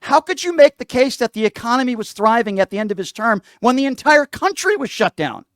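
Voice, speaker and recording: Man, high voice, dry sound, political call-in host, argumentative, insistent, repetitive emphasis. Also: big pitch swings, a little fast, fairly bright tone, no echo. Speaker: live call-in show host. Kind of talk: political debate with a caller.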